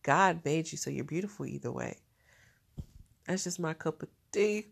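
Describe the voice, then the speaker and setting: A woman's voice: sits low, normal speed, gravelly, slightly rough. A woman with a nasal, stuffed-up voice talking in a casual diary vlog, chatty and laughing often. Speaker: vlogger.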